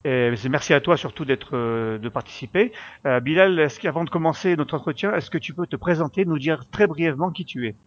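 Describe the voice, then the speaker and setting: A man hosting a podcast interview who asks his guest clear, orderly questions in a polite way. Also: fairly high pitch, animated, fairly quick, muffled recording. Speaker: podcast host.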